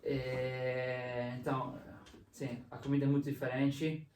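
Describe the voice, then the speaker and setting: A young adult man from Italy speaks Portuguese with a strong Italian accent. He pauses, fills gaps and restarts as he hunts for words. He sounds distant from the mic in an echoey room. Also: medium pitch, little pitch movement, darkish tone. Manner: hesitant, many fillers and restarts. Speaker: guest. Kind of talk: casual interview conversation.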